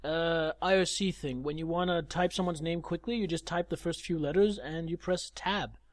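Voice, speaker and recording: A man's voice: on the high side, normal speed, no echo. A man co-hosting a podcast in casual chat, teasing with dry humor. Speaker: podcast co-host.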